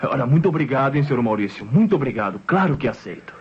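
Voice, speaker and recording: An actor playing a polite, eager young Brazilian boyfriend, effusive and courteous, in an old radio drama. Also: male voice, medium pitch, sing-song, quick pace, breathy edge. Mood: cheerful, grateful. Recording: dull old radio recording.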